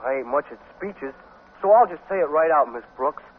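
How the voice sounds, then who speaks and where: An actor playing a slow-witted, earnest teenage boy athlete with plodding, ungrammatical speech in a 1950s radio sitcom. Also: fairly high pitch, lively intonation, natural voice. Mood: earnest, bashful. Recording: tinny, noisy 1950s radio recording.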